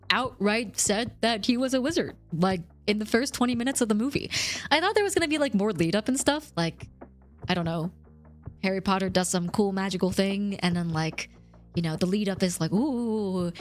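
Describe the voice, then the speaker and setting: A woman streamer chatting casually and thanking viewers, her voice slightly rough from a recent cough. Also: mid-range voice. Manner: chatty, rambling, reads chat and thanks subscribers. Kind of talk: casual livestream chat.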